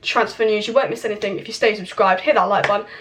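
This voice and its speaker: A young woman hosting a casual football transfer news update from home, hoarse as she loses her voice, chatty and opinionated, recorded on a camera mic. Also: mid-range voice, fairly even intonation, fast, fairly bright tone, slight background noise. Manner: chatty, opinionated, speaks straight to viewers. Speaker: football channel host. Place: home with kitchen clatter nearby.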